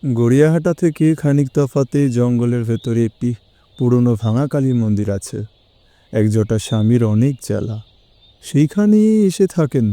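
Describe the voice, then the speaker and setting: A man playing an anxious, respectful gentleman in an audio story, speaking in a formal, earnest way. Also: medium pitch, lightly acted. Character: astonished, anxious, respectful gentleman seeking help from detectives. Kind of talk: Bengali audio story acting.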